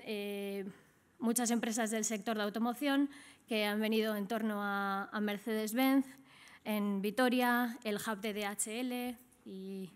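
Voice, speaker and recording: A middle-aged woman with an accent from Spain, giving a business presentation as a conference speaker in a conversational way, often checking in with short tag questions. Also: medium pitch. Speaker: trade office director, conference speaker. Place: indoor conference stage at a lectern.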